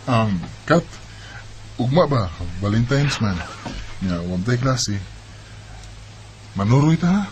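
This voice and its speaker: A man's voice: mid-range voice, very animated, slow, pauses often. A man playing an eager, smitten young student in a Bisaya Filipino radio romance, talking casually and coaxingly. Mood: cheerful, smitten. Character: eager, smitten young male student courting his friend.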